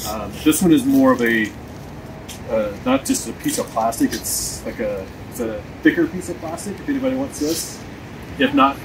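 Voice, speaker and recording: A man's voice: mid-range voice, expressive, normal speed. A man hosting a car owners' meetup, talking loosely to a group outdoors with many ums and restarts. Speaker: meetup organizer, channel host. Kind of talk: casual talk to a group outdoors. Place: snowy parking lot outdoors. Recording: outdoor video with clear background noise.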